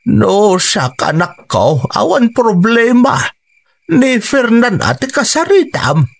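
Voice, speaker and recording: A man's voice: sits high, sing-song, slow, vocal fry, heavily acted. A man playing a protective, inquisitive older father in a Philippine radio drama, speaking in an emphatic, questioning way over music. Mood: accepting.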